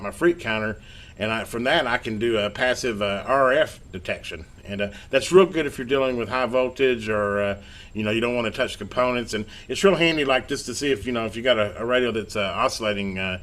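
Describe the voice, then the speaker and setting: A man's voice: mid-range voice, a bit bright. A middle-aged man chatting casually as he does repairs, rambling along in a folksy, self-deprecating way. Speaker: electronics hobbyist and repair video host. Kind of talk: casual workbench chat while repairing. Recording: clear background noise.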